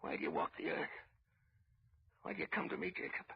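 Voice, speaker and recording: A man playing a crotchety, frightened old miser with a raspy, cracked voice and a stammering delivery in a radio drama. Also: a little high, wildly swooping pitch, brisk, full character voice. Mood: pleading. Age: older. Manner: stammering, querulous. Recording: muffled old radio recording.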